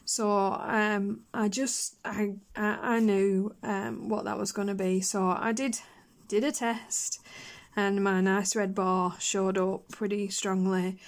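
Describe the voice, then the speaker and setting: A woman chatting casually on her colouring channel, her voice hoarse and croaky after a chest infection, rambling with fillers and coughs. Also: medium pitch. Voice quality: husky. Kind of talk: casual chat while colouring.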